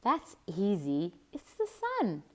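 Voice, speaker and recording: A woman voicing an excitable, eager little girl from a storybook, with dramatic, expressive delivery. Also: medium pitch, takes it slowly, exaggerated voice. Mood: eager, proud. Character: excitable, eager little girl who loves fancy words. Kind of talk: children's storybook character voice.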